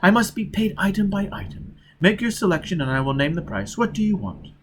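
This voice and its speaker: A man acts out a greasy, fat street peddler in an audiobook. He is wheezy and wheedling, pitched a little up, haggling with oily courtesy over steady background noise. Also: a little fast. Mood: calm, wheedling. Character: fat, greasy, sly peddler posing as Italian cook.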